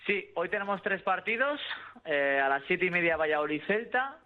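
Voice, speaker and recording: Man on a tinny phone line, slightly high. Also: very animated, normal speed. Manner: rattles off fixtures and results, with hesitations. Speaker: sports journalist. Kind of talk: sports news chat. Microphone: telephone line.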